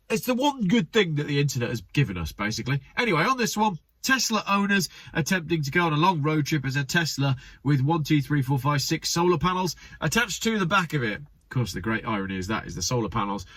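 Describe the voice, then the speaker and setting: A man's voice: on the high side, a bit bright, breathy edge, dry recording. A man hosting his channel, reading memes aloud in casual commentary with wry, sarcastic asides and a slightly stuffy, nasal voice. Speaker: channel host.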